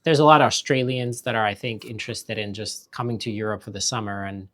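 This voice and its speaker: A man's voice: on the low side, fast talker. Chatty Finnish-born man hosting a vlog. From Finland. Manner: relaxed, chatty, with fillers. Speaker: vlog host. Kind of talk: casual chat.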